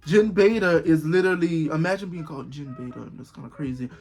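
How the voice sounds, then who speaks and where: A young man of twenty talks straight to his viewers in a loose, rambling way. He repeats himself and breaks into exclaimed disbelief. Soft music plays faintly behind him. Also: fairly high pitch, brisk. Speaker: vlogger. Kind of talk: casual talk to camera.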